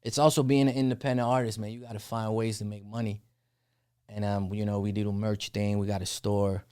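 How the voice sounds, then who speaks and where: A man's voice: lowish voice, flat delivery, a little fast, darkish tone, smooth, no echo, clean studio sound. A young man from the Netherlands, a rapper talking casually as a podcast guest, loose and peppered with "you know what I'm saying.". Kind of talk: casual interview conversation.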